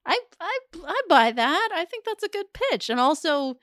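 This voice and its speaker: A woman's voice: extremely high pitch, sing-song, fairly bright tone, no echo, clean studio sound. A woman co-hosting a podcast, chatting casually with a playful tone and frequent laughter. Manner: playful, laughs often, quick reactions.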